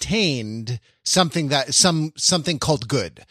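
A man's voice: fairly high pitch, lively intonation, fairly bright tone, no echo. Musing male podcaster, dry close sound. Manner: musing, philosophical, halting with many fillers. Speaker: podcast co-host. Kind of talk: casual chat.

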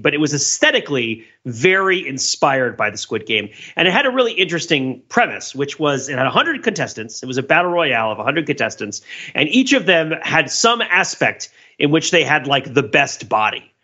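Animated, bright-voiced man in podcast chat. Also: fairly high pitch, a little fast, breathy edge, dull audio. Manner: rambling, self-interrupting explanations. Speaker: podcast co-host. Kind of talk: casual podcast discussion.

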